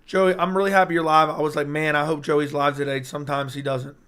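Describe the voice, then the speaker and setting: Hoarse young man livestreaming, voice cracking. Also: on the high side, little pitch movement, fast talker, a bit bright. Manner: hyped, rambling, talks straight to chat. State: voice strained and cutting in and out. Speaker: MMA YouTuber hosting a livestream. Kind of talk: casual livestream chat reading viewer comments. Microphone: personal streaming mic.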